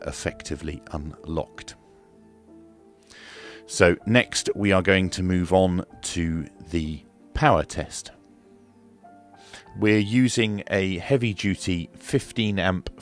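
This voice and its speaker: A man giving a casual hands-on product demonstration, explaining step by step in a matter-of-fact, hesitant manner. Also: sits low. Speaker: radio reviewer and demonstrator. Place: indoor room at a desk.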